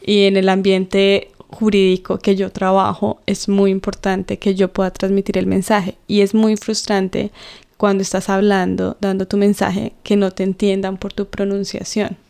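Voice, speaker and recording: A Colombian woman in her thirties speaks in a relaxed podcast conversation. Her voice is smooth and resonant with a gravelly edge, and her pitch stays fairly level. She pauses on fillers and laughs softly as she thinks aloud. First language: Spanish. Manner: thoughtful, with frequent fillers and soft laughs. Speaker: co-host. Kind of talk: casual podcast chat.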